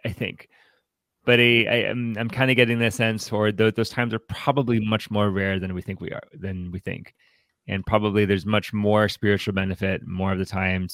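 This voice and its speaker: A man co-hosting a casual, reflective discussion, speaking haltingly with repeated words, self-deprecating, in a gravelly voice. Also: sits low, no echo. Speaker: podcast co-host.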